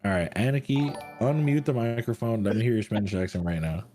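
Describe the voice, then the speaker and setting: A man's voice: on the low side, lively intonation, quick pace, mellow, soft background music. A man hosting a live trading stream, brash and crude, hyping the chat between trades. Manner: crude, brash hype talk. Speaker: host.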